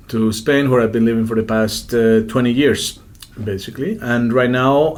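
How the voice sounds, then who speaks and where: Hesitant middle-aged man being interviewed. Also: medium pitch. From Colombia. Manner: hesitant, circling phrasing with repeated words. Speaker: interview guest, executive director of an NGO. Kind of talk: reflective interview answers.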